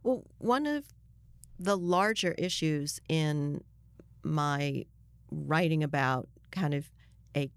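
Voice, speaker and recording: An older woman, a journalist being interviewed, giving her opinions in a halting but emphatic way. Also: deep voice, no room sound, studio quality.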